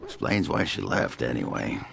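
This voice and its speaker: An actor playing a crude, blustering, drunken old dwarf warrior in a video game, with a gravelly voice. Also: male voice, a bass voice, very animated, moderate pace, fairly bright tone, faint music behind, heavily acted. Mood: crudely scornful. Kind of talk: video game character acting.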